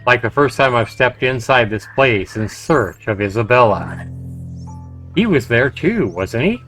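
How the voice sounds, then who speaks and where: A man livestreaming a game, commenting and reading visual novel text aloud in an expressive, dramatic way. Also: medium pitch, measured pace, faint music behind. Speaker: game streamer.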